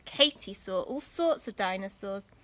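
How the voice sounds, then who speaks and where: Slow, very animated woman reading a story. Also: medium pitch. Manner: dramatic, deliberate phrasing for young listeners. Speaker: primary school storyteller. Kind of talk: expressive children's story reading.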